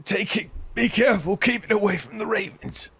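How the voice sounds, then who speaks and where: A man's voice: sits high, brisk, fairly bright tone, voice pushed up. A man voicing a wounded, dying man who pleads in an urgent, gasping way. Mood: desperate, urgent.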